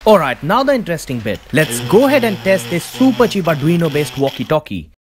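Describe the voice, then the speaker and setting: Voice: mid-range voice, big pitch swings, brisk, background noise. A narrator presenting a scripted DIY tutorial as the host.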